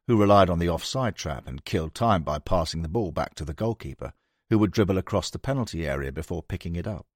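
Low-voiced man narrating, lively range. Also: dry recording, studio quality. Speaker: audiobook narrator. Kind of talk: scripted narration of a sports history book.

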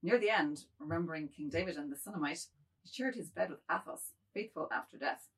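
A meek, fretful aging man, played by a woman speaks in a low, husky voice. The delivery is florid and rambling, as in a dramatised reading of a novel. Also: a little fast, a bit bright, exaggerated voice. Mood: weary, rambling.

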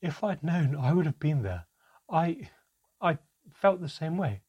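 A man's voice: mid-range voice, lively intonation, moderate pace, mellow, husky, highs cut off, pitched above the performer's own voice. A man doing a tearful, quavering mother. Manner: strained, quavering. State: sobbing.

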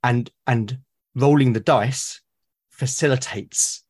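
A British man, a podcast guest, talking earnestly and haltingly, often repeating his words. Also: medium pitch, a little mellow, breathy edge. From Britain. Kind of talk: conversational interview.

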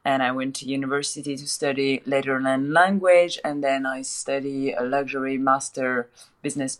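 Woman speaking as art advisor. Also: extremely low pitch. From France. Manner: fluent, with many repeated starts. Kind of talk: casual interview chat. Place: remote call from another city.

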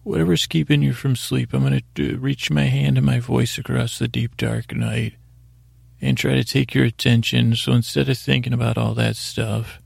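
A man hosting a bedtime podcast with a gravelly, husky voice, rambling and halting in a soothing, unhurried, self-deprecating way. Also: mid-range voice, little pitch movement, no echo. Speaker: podcast host. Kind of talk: meandering bedtime podcast monologue.

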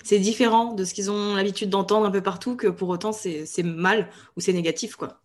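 A woman hosting a podcast, asking questions in relaxed, casual conversation. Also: medium pitch, fairly quick. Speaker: podcast host. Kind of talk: casual interview chat.

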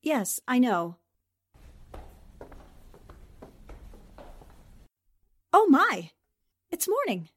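Female actor as a poised girl reporter, precise, slightly high voice. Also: huge pitch swings, slow pace, a bit bright, husky, exaggerated voice. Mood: surprised. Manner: poised, precise, explains deductions. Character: sharp-witted female newspaper reporter. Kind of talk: amateur audio drama acting.

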